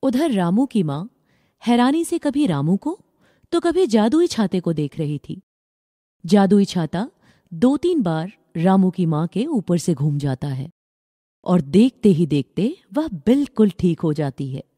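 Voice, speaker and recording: A woman's voice: on the low side, very animated, a little slow, dark tone, no room sound, studio quality. A woman narrating a scripted children's story.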